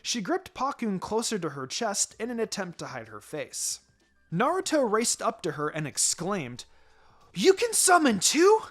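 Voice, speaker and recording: A man's voice: high-pitched, sing-song, normal speed, a bit bright. Guy narrating a fanfic, lots of movement in the voice, music behind him. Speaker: fan fiction narrator. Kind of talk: expressive scripted story narration.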